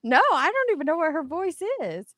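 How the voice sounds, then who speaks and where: A woman's voice: high voice, very animated, fairly quick, fairly bright tone. A woman chatting informally and profanely as a host who reads online comments aloud. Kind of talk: casual banter and dramatic readings.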